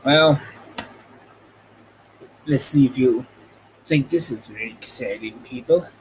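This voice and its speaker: A man hosting and reading a storybook aloud in a halting, amateur way, with casual asides. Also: medium pitch, low-quality recording. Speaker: host reading a book aloud. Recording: noticeable background noise.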